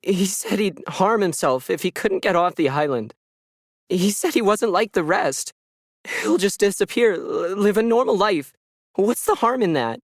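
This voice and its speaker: Male reader as upset, pleading woman in a sci-fi audio story, voice lifted high, close dry sound. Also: lively intonation, no echo. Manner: pleading, halting, defensive. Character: distraught, pleading young woman under interrogation.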